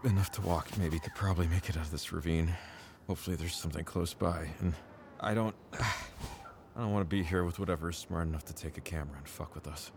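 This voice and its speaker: A man's voice: sits low, fairly even intonation, a little fast, noisy background. A man playing an injured, frightened, sardonic hiker in an audio drama, cursing and groaning. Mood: pained, weary. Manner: sweary, groaning, sighing. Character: injured frightened sardonic hiker, friend being helped along.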